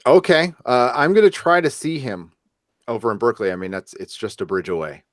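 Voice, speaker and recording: A man hosting a podcast in casual chat, with a halting, stammering, jokey delivery. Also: medium pitch, dry recording, studio quality. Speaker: podcast host.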